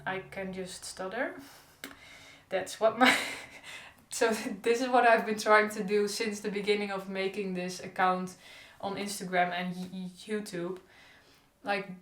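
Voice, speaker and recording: A woman's voice: medium pitch, flat delivery, a little slow, fairly bright tone. A young woman who stutters openly with repeated sounds, talking in a casual diary-style vlog. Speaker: stuttering vlogger. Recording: echoey room.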